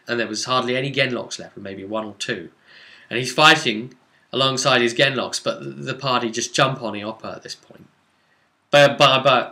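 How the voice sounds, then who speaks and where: A man's voice: mid-range voice, pushed and bright. A man casually recapping a tabletop role-playing session as game master, explaining conversationally with dramatic asides.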